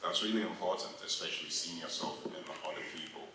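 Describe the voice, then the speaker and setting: A man's voice: deep voice, quick pace, noisy background. A young man hosting a panel, upbeat and encouraging, with frequent ums. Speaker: panel host. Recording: echoey hall, mic far off.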